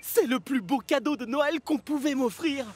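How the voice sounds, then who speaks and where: An actor voicing an excitable, eager young talking locomotive in a French-dubbed children's cartoon. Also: high-pitched, normal speed, close to an everyday voice. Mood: excited, eager.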